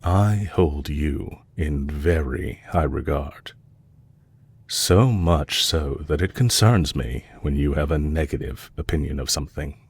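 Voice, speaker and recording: A man playing a composed, courteous villain leader in an audio roleplay, speaking in a measured, formal way to a captive he is fond of. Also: rumbling low, husky, exaggerated voice. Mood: earnest, confessional.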